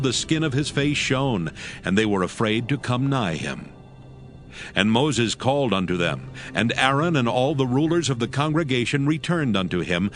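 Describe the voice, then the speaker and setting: A man narrating scripture from a script in a measured, solemn manner. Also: medium pitch. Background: faint music.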